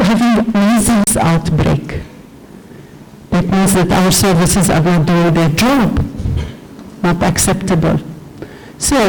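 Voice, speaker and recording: A middle-aged man lectures a hall full of students. He drives his points home with emphatic rhetorical questions that he answers himself. Music plays clearly in the background. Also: sits high. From Lebanon. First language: Arabic. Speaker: guest lecturer on public health.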